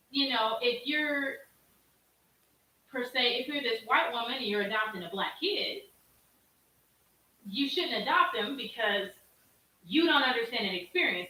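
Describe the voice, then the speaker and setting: A woman with a big, bright voice states her opinions bluntly and keeps tagging on "you know what I'm saying." She is off camera, well away from the mic. Her voice comes through roomy and distant, over a faint hiss. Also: on the high side, lively intonation, a little slow, pauses often. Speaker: guest in a stream. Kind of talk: casual opinionated talk.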